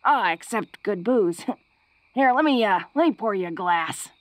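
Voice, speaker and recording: A woman playing a big, tough young orc who is secretly awkward and tender, speaking haltingly and intimately to the listener in a romantic audio roleplay. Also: mid-range voice, lively intonation, no echo, close to an everyday voice. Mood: friendly, hearty. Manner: bashful, halting, breaking into soft chuckles. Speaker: voice actor.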